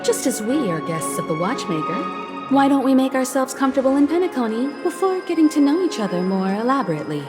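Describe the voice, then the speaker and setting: Game role: composed, persuasive young female diplomat, expressive melody over clear background music. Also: high voice, fast. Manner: courteous, elegant, persuasive. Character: poised, shrewd young female space-train navigator. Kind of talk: scripted video game dialogue. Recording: music behind the voice.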